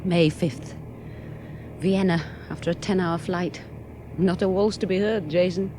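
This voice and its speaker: A woman's voice: fairly low pitch, fairly even intonation, unhurried, lightly acted. An actress playing a determined young woman aviator in a radio play, earnest and insistent. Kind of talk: 1970s radio drama. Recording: noisy background ambience.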